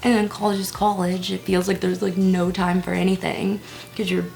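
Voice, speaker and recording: A woman's voice: lowish voice, completely flat delivery, moderate pace, gravelly, a little mellow, low-quality recording. A woman in her early twenties chatting casually at home while doing her makeup, self-deprecating, with whispered asides. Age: 20s. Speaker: vlogger. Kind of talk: casual get-ready-with-me chat.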